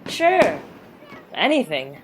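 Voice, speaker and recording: A woman playing a cheerful, earnest young boy hero in an animated comic dub. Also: lowish voice, slow pace, noisy background, heavily acted.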